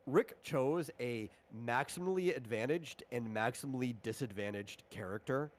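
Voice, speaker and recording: A man's voice: mid-range voice, moderate pace. A man talking casually as a game master on a stream, explaining things conversationally with asides.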